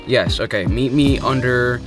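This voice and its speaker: A man's voice: mid-range voice, over music. A young man answering quirky questions in a casual, joking way, filming himself with a phone in a parked car. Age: young adult. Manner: playful, joking, quick asides. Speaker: vlogger. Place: inside a parked car at night. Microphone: phone held at arm's length.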